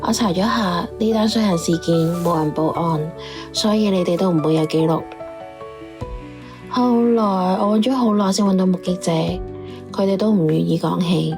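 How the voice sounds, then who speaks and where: A woman's voice: lowish voice, normal speed, natural voice. A woman playing a world-weary informant who reports her findings in a matter-of-fact, resigned way in an audio drama. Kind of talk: radio drama dialogue. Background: music.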